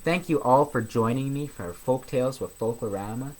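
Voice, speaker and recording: A man reads a children's picture book aloud as host of a story session. His delivery is expressive and geared to young listeners, at a middling pitch. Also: moderate pace, rough recording.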